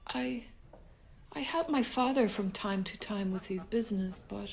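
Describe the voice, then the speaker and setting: A woman's voice: mid-range voice, measured pace, creaky, a little mellow, soft background music. A woman playing a guarded, puzzled serving maid in a radio play, hesitant and questioning. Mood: wary, unsettled.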